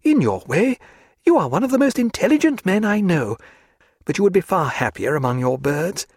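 A male audiobook narrator voicing a lively, teasing young English lady with a gushing manner. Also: moderate pace, breathy edge, no echo, studio quality, full character voice. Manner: gushing, teasing, high-spirited.

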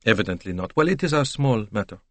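A man's voice: on the low side, expressive, no room sound, lightly acted. Man acting a precise, murmuring Belgian detective. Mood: pensive. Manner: measured, formal, murmuring. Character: fussy, courteous Belgian male detective, thoughtful and precise.

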